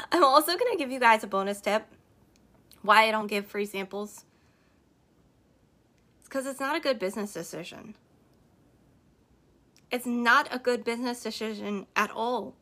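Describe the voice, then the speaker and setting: A woman hosting a podcast, giving emphatic, repetitive business advice straight to her listeners, her voice slightly hoarse. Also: a little high, measured pace, long pauses, bright, forceful tone. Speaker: podcast host and network marketer. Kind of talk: motivational business talk on a live stream.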